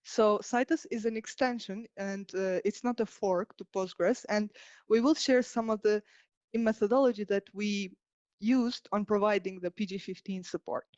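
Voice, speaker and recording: A woman from Albania giving a prepared technical presentation as a software engineer. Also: mid-range voice, steady tone, normal speed, no echo, highs cut off.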